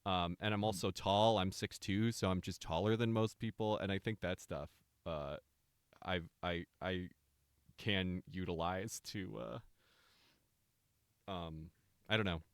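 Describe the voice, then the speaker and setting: A man with a deep voice co-hosting a live stream, talking hesitantly with many fillers and restarts. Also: unhurried, smooth, no echo. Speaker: stream co-host. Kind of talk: casual chat.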